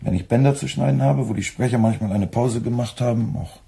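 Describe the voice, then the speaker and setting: An actor playing a weary, wry young radio editor with a very husky voice and a laconic, deadpan way of speaking in a radio play. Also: male voice, mid-range voice, fairly quick. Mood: deadpan, hesitant. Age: young adult. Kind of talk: German radio play acting.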